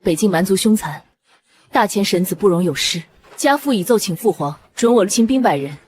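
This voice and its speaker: A proud young noblewoman warrior played by a woman speaks at a medium pitch. She is formal, earnest and resolute in animated fantasy style, with clear noise behind her. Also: fairly quick, lightly acted. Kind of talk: Chinese animated fantasy drama acting.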